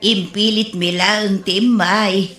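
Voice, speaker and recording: A woman playing a patient mother of grown daughters in an Ilocano comedy drama, gently advising with an Ilocano accent. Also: medium pitch, steady tone, slow. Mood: consoling. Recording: roomy echo.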